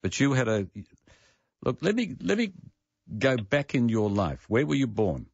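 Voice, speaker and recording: A man hosting a radio talk show, interviewing in a probing, conversational way and deliberately repeating phrases to press his point. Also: medium pitch, big pitch swings, gravelly, slightly rough, no echo. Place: radio studio. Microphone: close studio microphone. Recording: slightly dull radio studio audio.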